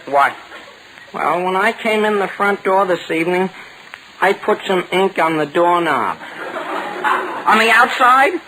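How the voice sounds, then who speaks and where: A man's voice: sits high, lively intonation, unhurried, long pauses. A man acting an eager, awkward, over-polite teenage boy in a 1940s radio comedy. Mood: worried. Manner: earnest, over-polite, evasive. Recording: noisy vintage 1940 radio broadcast.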